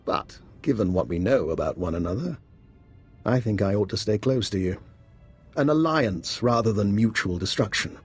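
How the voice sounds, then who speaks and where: A man's voice: fairly low pitch, expressive, moderate pace, breathy edge, soft background music. A man playing a cunning scientist agent in a video game, polite, formal and calculating in his persuasion. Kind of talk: video game cutscene voice acting.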